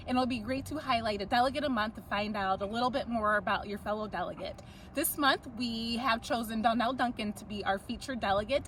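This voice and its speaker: A woman's voice: fairly high pitch, fairly bright tone, a little hiss or hum, poor audio. Upbeat woman giving an update outdoors. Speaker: organization speaker giving an update. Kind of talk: scripted video update. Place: outdoors near stadiums.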